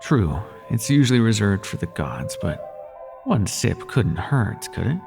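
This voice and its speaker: A man's voice: on the low side, very animated, measured pace, vocal fry, music in the background, exaggerated voice. A man playing a suave, teasing demon prince of nightmares in an intimate audio roleplay, with courtly, lingering delivery. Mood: coaxing.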